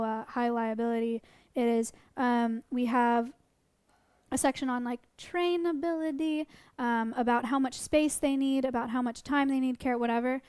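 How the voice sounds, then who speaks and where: A woman in her twenties chatting casually on a livestream, answering questions about sanctuary animals with lots of ums and restarts, while her voice is going hoarse. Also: high voice, dry recording. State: losing her voice.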